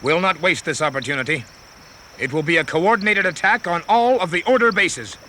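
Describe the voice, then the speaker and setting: A man's voice: fairly high pitch, a little fast, lightly acted. An actor playing a wronged, resolute rebel king in a 1970s space-opera audio drama, solemn and declamatory.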